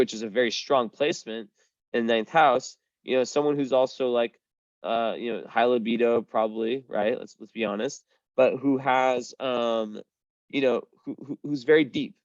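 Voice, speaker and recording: A man's voice: mid-range voice, steady tone, moderate pace, no room sound, lo-fi sound. A young man giving a casual astrology reading, rambling with lots of fillers, restarts and the odd swear. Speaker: astrology reader. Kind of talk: casual one-on-one chart reading.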